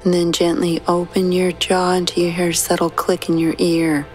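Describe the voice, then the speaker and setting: A woman guiding a sleep meditation in a slow, soothing, hushed manner with long pauses. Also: on the low side, completely flat delivery. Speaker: meditation guide. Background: soft background music.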